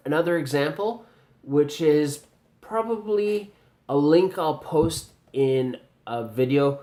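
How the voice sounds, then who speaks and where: A man with a general Canadian accent speaks slowly and deliberately, like a teacher giving feedback. He pauses often and fills gaps with ums. His voice sits at an ordinary pitch for a man. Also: darkish tone. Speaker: video commentator reviewing pronunciation. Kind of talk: slow spoken video response.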